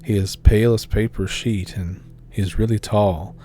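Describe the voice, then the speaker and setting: A man calmly reading scary stories aloud as the narrator of a horror channel. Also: on the low side, unhurried, slight background noise.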